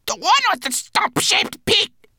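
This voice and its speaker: Shrill croaking raven. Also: male voice, very animated, a little slow, sharp tone, no echo, clean studio sound, full character voice. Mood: urgent, brash. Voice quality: croaky, squawking. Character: brash, impatient croaking raven, streaking through the sky.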